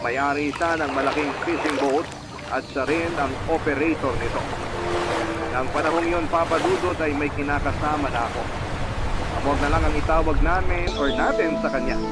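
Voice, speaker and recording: A male radio host reads letters in a slightly high voice, with measured, sentimental storytelling delivery. Loud music plays under his narration. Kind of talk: dramatic scripted storytelling narration.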